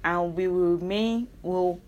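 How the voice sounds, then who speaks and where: A woman's voice: on the low side, fairly even intonation, a little slow, darkish tone, muffled recording. A young woman with a Nigerian accent, hosting a casual solo podcast and talking earnestly, rambling and correcting herself as she argues her point. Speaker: podcast host.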